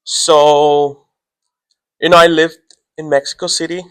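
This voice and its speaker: A man whose first language is Spanish, a teacher answering interview questions in a relaxed, rambling way that trails off. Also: a little high, measured pace, clean tone, no echo.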